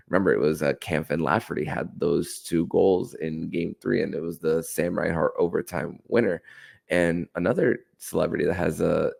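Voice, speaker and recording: A man with a very low voice and a gravelly creak hosts a sports podcast. He delivers solo hockey commentary with an enthusiastic manner. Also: darkish tone.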